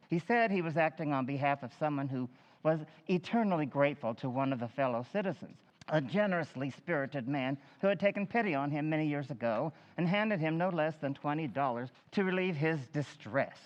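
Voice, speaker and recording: An actor playing a formal, deliberate town official addressing a public meeting in a measured, declamatory old-time radio style. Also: male voice, fairly high pitch, animated, close to an everyday voice. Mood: formal, suspenseful. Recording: echoey hall, slightly dull sound.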